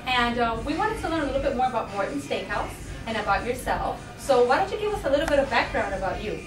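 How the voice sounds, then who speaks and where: A woman's voice: a little high, big pitch swings, fast. A woman conducting a conversational interview, asking questions and responding to the answers. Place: busy department store event. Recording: echoey, distant, music behind. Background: music.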